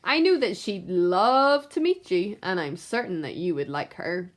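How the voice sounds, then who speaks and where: A woman's voice: on the low side, lively intonation, measured pace. A woman voicing a crafty female duck in a rhyming picture book, coaxing with emphasis.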